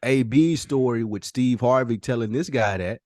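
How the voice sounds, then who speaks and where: A man's voice: mid-range voice, moderate pace, darkish tone, dry recording, studio quality. A man hosting a casual podcast conversation, speaking in slang and repeating phrases for emphasis. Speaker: podcast host.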